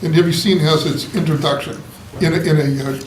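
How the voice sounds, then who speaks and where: Higher-voiced man, halting. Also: fairly even intonation. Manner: stumbling, halting. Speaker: writers' group member. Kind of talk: casual critique discussion. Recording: roomy table recording, faint noise.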